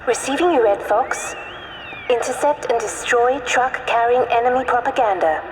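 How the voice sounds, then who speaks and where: A woman playing a brisk, formal wartime radio operator in a video game, clipped and businesslike over the radio. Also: on the high side. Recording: echoing, faintly noisy radio transmission.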